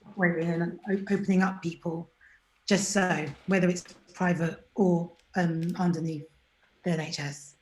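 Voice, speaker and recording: A woman's voice: lowish voice, moderate pace, echoey room, low-quality recording. A woman as an interview guest, talking candidly and animatedly, sometimes acting out what other people said to her. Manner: chatty, animated, acts out quoted people.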